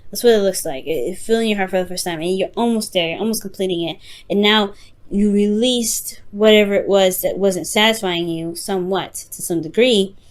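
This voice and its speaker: A woman reading tarot unscripted, talking straight to the listener in a halting, reassuring way, often repeating and rephrasing herself. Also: mid-range voice. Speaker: tarot reader, host.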